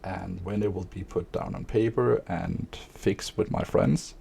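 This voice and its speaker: Slow, gravelly man. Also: deep voice, slight background noise. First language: Danish. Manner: monotone; hesitant, many fillers. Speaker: streamer and aspiring author. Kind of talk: casual spoken monologue.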